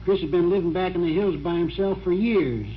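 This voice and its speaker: A folksy, kindly middle-aged country man played by a male actor in a vintage radio play. His colloquial voice sits fairly high, on a dull recording with faint hiss. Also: brisk, lightly acted. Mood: earnest, explaining. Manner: homespun, colloquial. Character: folksy, good-hearted middle-aged small-town man, doctor's friend. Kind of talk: old-time radio drama acting.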